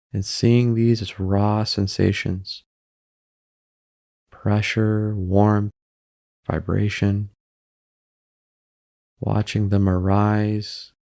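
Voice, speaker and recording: A man narrating a guided meditation in a calm, unhurried way, pausing between short phrases. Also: fairly low pitch, creaky, dry recording, clean studio sound.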